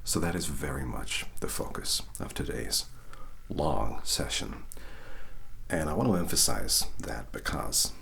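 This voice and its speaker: A man softly guiding an ASMR reiki session, half-whispering slowly and checking in with "okay?". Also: very deep voice, animated, vocal fry. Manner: whispery, slow and soothing, frequent "okay?" check-ins. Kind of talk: soft-spoken ASMR guided session.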